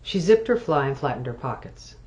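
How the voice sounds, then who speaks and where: A woman author reading literary fiction aloud, measured and expressive. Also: a bass voice. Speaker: author reading from own novel.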